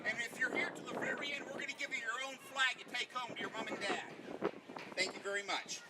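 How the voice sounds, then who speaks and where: A man's voice: very high-pitched, quick pace. A man giving an educational talk to children, presenting in simple steps with questions and answers. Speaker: flag etiquette presenter. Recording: noisy outdoor event recording.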